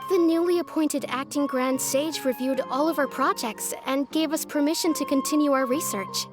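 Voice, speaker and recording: A woman's voice: sits high, normal speed, music in the background, lightly acted. A woman voicing a young, relieved, hardworking researcher in a video game. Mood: relieved, chatty.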